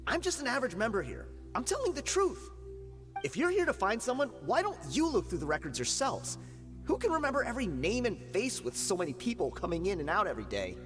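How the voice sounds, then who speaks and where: An actor playing a cornered, shifty rank-and-file bandit in a video game, blustering and evasive. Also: male voice, high-pitched, wildly swooping pitch, quick pace, in a large hall, over music. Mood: nervous.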